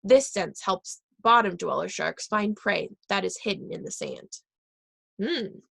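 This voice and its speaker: A woman reading a children's book aloud, pausing and correcting herself now and then. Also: medium pitch, gravelly, bright, forceful tone, no echo. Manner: reads aloud with pauses and self-corrections. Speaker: reader.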